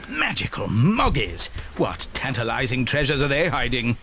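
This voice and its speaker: An actor voicing an excitable, jolly, pompous British narrator of a video game adventure serial, florid and melodramatic. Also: male voice, medium pitch, moderate pace, noisy background. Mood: intrigued.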